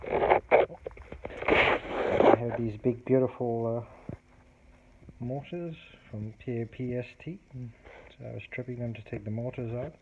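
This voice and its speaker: A man casually demonstrating a tape recorder repair at his workbench, explaining step by step in a halting way, with many fillers and restarts. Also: medium pitch, steady tone. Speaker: hobbyist repairer. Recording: dull, lo-fi audio with clear background noise and clicks.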